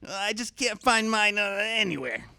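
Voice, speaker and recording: A shameless, muddled old quack dentist for a comic radio play. The voice is high, bright and crackly with age. He hems, mutters and repeats himself absent-mindedly. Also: animated, moderate pace, gravelly, smooth, full character voice. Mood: rambling, muddled.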